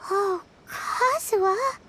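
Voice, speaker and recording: A woman voicing a tiny, childlike floating companion in a video game, squeaky, excitable, fussy and caring. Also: slow, background noise. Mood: sympathetic.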